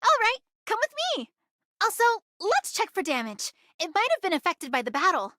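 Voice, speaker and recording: A woman's voice: extremely high pitch, very animated, moderate pace, bright, forceful tone, dry recording, studio quality, exaggerated voice. A woman voicing a feisty, proud but caring young female smuggler in an anime video game, brash and theatrical. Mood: brisk, confident.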